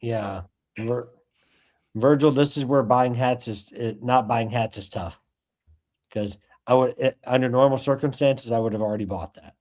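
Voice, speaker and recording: A playful man co-hosting a sports chat, clowning and drawing out words on purpose. His voice sits at a middling pitch. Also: normal speed, darkish tone. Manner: playful, jokey, over-enunciates for laughs. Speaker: co-host.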